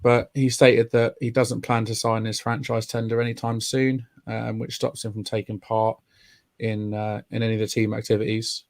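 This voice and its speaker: A man hosting a podcast in casual chat, raspy from a chest infection. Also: fairly low pitch, monotone, normal speed, dry recording. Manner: chatty, friendly, many ums. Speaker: podcast host. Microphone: remote call mic.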